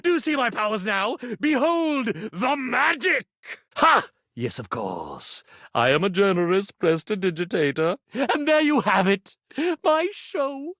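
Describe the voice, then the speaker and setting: A man's voice: sits high, sing-song, measured pace, pushed and bright, no echo, exaggerated voice. A vain, flamboyant male stage magician for a video game. His delivery swoops extravagantly up and down with grandiose showmanship. The sound is close and dry, like a studio take. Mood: triumphant. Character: vain, theatrical male stage magician, a living clothes iron. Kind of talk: theatrical video game character acting.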